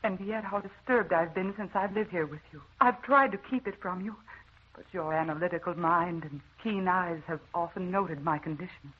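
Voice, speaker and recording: An actress playing a tragic, haunted wife in an old radio drama, speaking with tender, earnest melodrama. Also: female voice, fairly low pitch, moderate pace, husky. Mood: sorrowful, tender. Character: tragic, haunted young wife with a mysterious past. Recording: muffled, noisy old radio recording.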